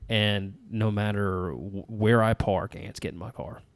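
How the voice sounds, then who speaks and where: Low-voiced man, rambling chat. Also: steady tone, measured pace, no echo. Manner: rambling, folksy, self-deprecating. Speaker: podcast co-host. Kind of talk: casual chat.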